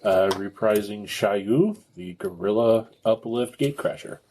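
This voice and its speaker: Low, mellow man playing a tabletop game. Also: measured pace, slight background noise. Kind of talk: casual chat.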